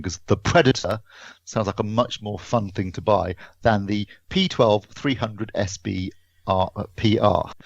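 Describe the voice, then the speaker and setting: A man's voice: lowish voice, no echo, dull audio. A British man co-hosting a podcast, talking through a product review casually and correcting himself as he explains.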